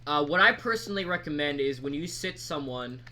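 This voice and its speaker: Halting man with a bright, forceful voice. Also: a little high, lively intonation. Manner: halting, rambling, informal. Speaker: mod creator presenting tutorial. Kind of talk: casual screen-recorded software tutorial. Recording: background noise, keyboard typing.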